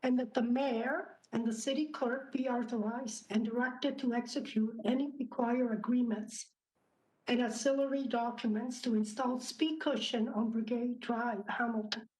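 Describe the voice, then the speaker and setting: A woman councillor, losing her voice from a cough, reads a motion haltingly with many fillers and clears her throat. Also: a little high, fairly even intonation, a little mellow, low-quality recording. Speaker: city councillor. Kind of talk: reading a motion at a council meeting.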